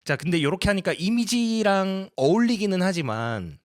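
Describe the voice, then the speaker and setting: Man, higher-pitched voice, very varied intonation, polite step-by-step tutorial narration. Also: very animated, moderate pace, heavy background noise. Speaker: sound designer presenting a tutorial. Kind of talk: casual explanatory tutorial narration.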